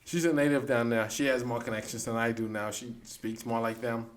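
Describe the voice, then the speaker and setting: A man's voice: medium pitch, fairly even intonation, brisk. A young man from the Bahamas with a Bahamian accent, co-hosting a casual podcast and telling stories in a laid-back way, trailing off and restarting. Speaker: podcast co-host. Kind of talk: casual chat.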